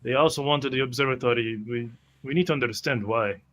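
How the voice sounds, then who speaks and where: A man with a bright, forward voice of medium pitch plays in a tabletop roleplay. He reasons in character with some hesitation, slipping into descriptions of his own actions. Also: brisk.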